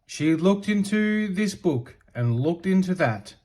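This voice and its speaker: A man narrates a storybook for children. His fairly high voice moves expressively up and down, and he takes his time over each phrase. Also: expressive, slow pace, darkish tone. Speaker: storybook reader.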